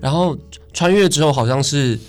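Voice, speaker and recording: A young man with a Taiwanese Mandarin accent chatting in a halting way as a performer guest in a radio studio. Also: a little high, soft background music. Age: young adult. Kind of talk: casual interview chat.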